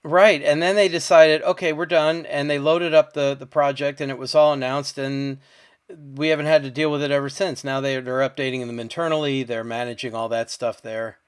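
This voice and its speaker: A man's voice: medium pitch, steady tone, normal speed, clear, resonant tone. A man hosting a podcast interview, asking friendly, conversational questions and checking facts as he goes. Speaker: podcast host.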